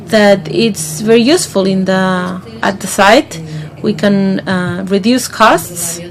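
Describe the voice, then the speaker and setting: A woman simultaneously interpreting a conference talk into English, pausing mid-phrase with fillers as she catches up. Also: mid-range voice.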